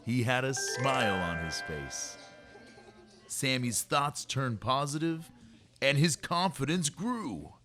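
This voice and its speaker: Expressive, unhurried man reading to kids. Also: medium pitch, faint music behind. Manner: expressive, measured storytelling. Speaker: storyteller reading a children's book aloud. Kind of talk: rhyming scripted narration for kids.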